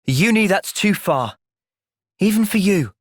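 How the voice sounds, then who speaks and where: A man with an English accent voicing an earnest, thoughtful young soldier hero in a fantasy video game, speaking in a measured, reflective way. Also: high-pitched, lively intonation, no echo, clean studio sound. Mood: firm, resolute. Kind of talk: video game cutscene acting.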